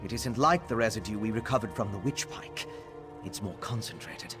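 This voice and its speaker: A man's voice: on the low side, lively intonation, normal speed, natural voice. A man playing an earnest, troubled but focused scholarly alchemist in a fantasy video game, explaining things thoughtfully and carefully. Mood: thoughtful, intrigued. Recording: prominent game music behind the voice.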